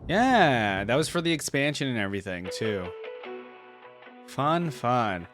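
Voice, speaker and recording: A man's voice: medium pitch, sing-song, a little slow, a bit bright. A man giving casual reaction commentary as a video host, talking to his viewers. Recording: music playing behind the voice. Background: background music.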